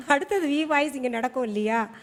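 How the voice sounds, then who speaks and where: A woman giving spoken feedback as a judge at a singing audition, with music behind her. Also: sits high. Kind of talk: unscripted spoken feedback to contestants.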